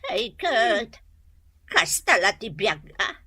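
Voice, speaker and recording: An actress playing a fretful, nosy village mother in an Ilocano radio drama, with drawn-out exclamations. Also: mid-range voice, very animated, bright, forceful tone, husky, full character voice.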